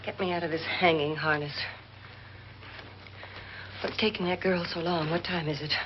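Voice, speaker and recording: A woman's voice: fairly low pitch, steady tone, moderate pace, natural voice. An actress playing an impatient, anxious woman scheming a murder in a 1950s horror film, with urgent delivery. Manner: urgent, questioning. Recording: muffled, hissy old film soundtrack.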